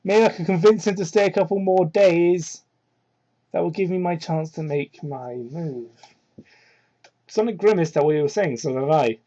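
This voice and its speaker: Male reader, high and soft-toned, theatrical mock-dramatic delivery with sarcastic ad-libs, cheap muffled mic. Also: moderate pace. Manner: sarcastic, incredulous asides with theatrical emphasis. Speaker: host doing a dramatic reading of fan fiction. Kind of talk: mocking read-aloud with ad-libbed commentary. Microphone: cheap microphone. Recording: muffled, low-quality cheap microphone.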